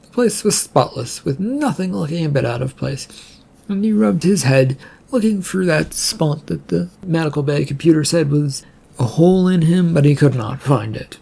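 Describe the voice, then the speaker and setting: Husky, creaky male story narrator. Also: on the high side, animated, moderate pace, warm tone.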